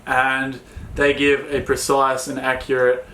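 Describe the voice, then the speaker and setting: Aussie bloke chatting about sailing, laid back, lots of ums, echoey room. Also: male voice, mid-range voice, measured pace, a little hiss or hum. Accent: Australian. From Australia. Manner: relaxed, filler-heavy, plain-spoken. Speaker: sailing vlog co-host. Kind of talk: casual Q&A chat.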